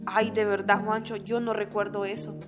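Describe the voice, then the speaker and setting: An actress playing a friendly, expressive woman activist in a radio drama, talking in a reassuring, conversational way. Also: medium pitch, normal speed, close to an everyday voice. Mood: surprised, moved. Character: Mercedes, friendly woman activist patiently explaining to comrades. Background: music.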